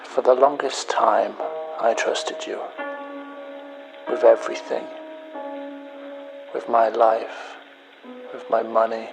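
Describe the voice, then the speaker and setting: A man playing a bitter, betrayed man leaving a cold, accusing message in an audio drama, in a hushed, deliberate, taunting manner. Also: medium pitch, flat delivery, gravelly, music in the background, low-quality recording. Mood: bitter, brooding. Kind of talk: dramatic audio story monologue.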